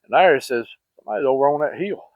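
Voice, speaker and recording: Male storyteller, Southern US accent, mid pitch, rambling and plain-spoken; dry, narrow phone-like sound. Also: animated, rapid-fire, dry recording. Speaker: vlogger. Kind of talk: casual personal storytelling. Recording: muffled, phone-like narrow-band audio.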